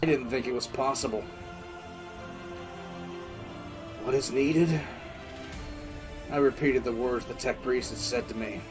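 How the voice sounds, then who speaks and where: A man's voice: medium pitch, moderate pace, music in the background, close to an everyday voice. A man playing a grim, battle-weary military commissar, narrating dramatically in the first person for an audio drama. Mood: grim, sneering.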